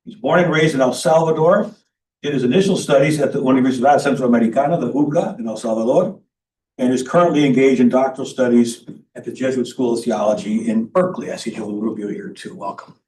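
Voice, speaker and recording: A man's voice: medium pitch, fast, darkish tone. A man speaks as the chair of a conference session in an informal introductory style. His mid-range voice comes from some distance in an echoey room, with faint background noise. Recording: roomy echo, distant mic, faint noise.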